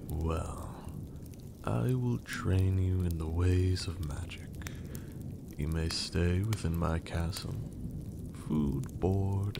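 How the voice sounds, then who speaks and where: A man acting as a haughty vampire lord in an ASMR roleplay. His voice sits very low and crackles with gravelly fry, often slipping into breathy whispers. He takes his time, drawling formal, condescending lines with a teasing, gentlemanly polish. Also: exaggerated voice. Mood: teasing, amused. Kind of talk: ASMR roleplay, intimate and scripted.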